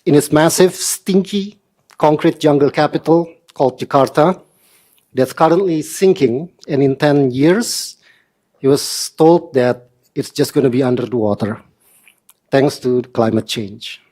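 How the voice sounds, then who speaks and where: A man in his forties from Indonesia, speaking earnestly in a measured, slightly formal way as a member of the public addressing a council meeting. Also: on the high side, a little mellow. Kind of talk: prepared public statement.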